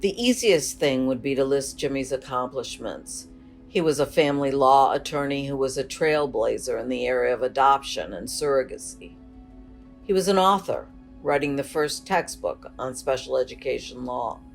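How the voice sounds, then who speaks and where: Bereaved woman, memorial speech, marked vocal fry, quiet guitar music underneath. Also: very deep voice, moderate pace. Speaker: bereaved spouse giving a eulogy.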